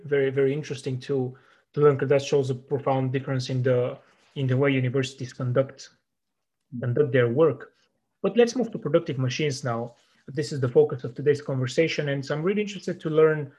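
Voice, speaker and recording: A man's voice: mid-range voice, a little mellow. A man hosting an interview, who asks questions in a friendly, hesitant way with many fillers. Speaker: interviewer, podcast host.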